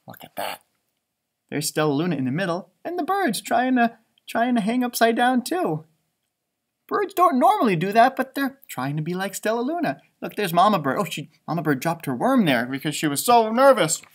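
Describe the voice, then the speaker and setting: Very animated male storybook reader. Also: high voice, moderate pace. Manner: expressive, dramatic delivery for children. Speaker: elementary teacher reading aloud. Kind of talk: animated storybook read-aloud with asides. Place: indoor library room with bookshelves.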